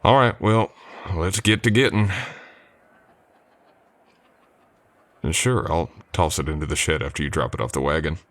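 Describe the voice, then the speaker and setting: A man playing a low-voiced, polite drifter cowboy. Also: fairly quick, no echo. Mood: willing, easygoing. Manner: folksy, courteous, hesitant, drawling old west speech. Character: polite, easygoing drifter cowboy hired as ranch hand. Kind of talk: ASMR roleplay acting, addressing the listener.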